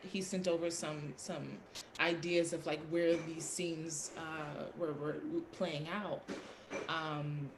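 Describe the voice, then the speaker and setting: Stage actress in casual panel discussion; echoey room, faint background noise. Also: female voice, low-pitched, flat delivery, slow. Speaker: theatrical actor.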